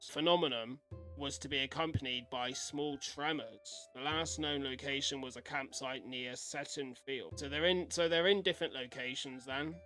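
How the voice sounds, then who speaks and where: Bright-voiced man on a live stream. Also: medium pitch, fairly even intonation, normal speed, faint music behind. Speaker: streamer reacting to horror videos. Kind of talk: casual live-stream reaction, reading aloud.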